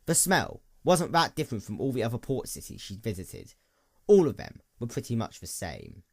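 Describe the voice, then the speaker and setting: A man's voice: mid-range voice, wildly swooping pitch, moderate pace, gravelly, no room sound, studio quality. A man reading a dramatic scripted story as its narrator. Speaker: narrator, fan fiction reader.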